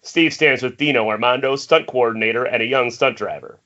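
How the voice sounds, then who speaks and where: Bright-voiced man narrating a screenplay. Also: mid-range voice, a little fast. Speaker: reader of stage directions. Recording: dull lo-fi internet call.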